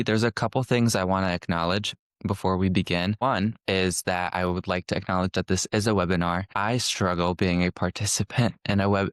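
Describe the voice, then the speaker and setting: A young man from California talks through a webinar in a relaxed, reflective way, often pausing to restart a thought. His voice sits very low and comes across smooth and resonant. The recording is close and dry, with no room sound. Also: no room sound. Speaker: social work student presenter. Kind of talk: casual webinar presentation.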